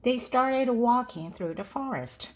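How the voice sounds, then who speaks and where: Slow, expressive woman reading a fairy tale. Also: fairly high pitch. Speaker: storybook narrator. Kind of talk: slow read-aloud storytelling for language learners.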